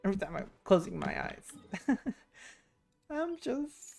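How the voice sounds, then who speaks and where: A woman's voice: medium pitch, sing-song, a little slow, creaky, darkish tone, faint music behind. A woman livestreaming a game, chatting casually and reading dialogue aloud in a playful, giggly manner. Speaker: streamer.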